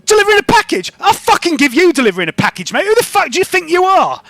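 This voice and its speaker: A man's voice: extremely high pitch, sing-song, moderate pace, a bit bright, no room sound, pitched above the performer's own voice, full character voice. A man voicing an aggressive, sweary, matey shopkeeper in a tabletop game. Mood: angry, indignant. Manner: indignant, blustering, sweary. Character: aggressive, foul-mouthed male shopkeeper, shouting and matey.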